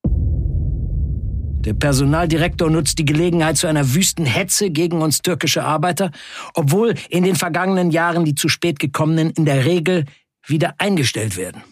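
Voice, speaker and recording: A man playing a defiant factory worker in an audio drama, narrating in the first person with emphatic, measured delivery and a husky voice. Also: a little high, dry recording, lightly acted. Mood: grim, resolute. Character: defiant male Turkish factory worker recounting a wildcat strike.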